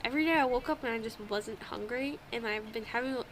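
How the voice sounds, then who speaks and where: A woman's voice: fairly high pitch, quick pace, fairly bright tone, slight background noise, rough recording. A young woman with a congested, stuffy nose, chatting casually as a podcast co-host, swearing freely and laughing often. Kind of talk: casual chat. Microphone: old home microphone.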